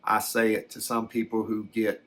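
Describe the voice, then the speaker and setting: A man playing a gentle, rambling older man who recalls his alien abduction in a hesitant, folksy, reflective way for an ASMR story. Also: lowish voice, flat delivery, normal speed, slight background noise, close to an everyday voice. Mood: reflective, halting. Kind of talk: first-person ASMR storytelling.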